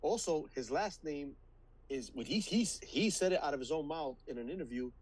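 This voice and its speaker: A man's voice: fairly high pitch, animated, normal speed. A man co-hosting a podcast, chatting casually about movies and laughing often. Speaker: podcast co-host.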